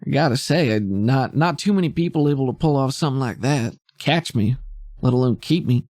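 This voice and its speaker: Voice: mid-range voice, expressive, brisk, a little mellow, dry recording, clean studio sound, lightly acted. A game master voicing a smug, evasive female thief with a slangy, cocky, grumbling way of talking in a tabletop game. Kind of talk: improvised tabletop roleplay.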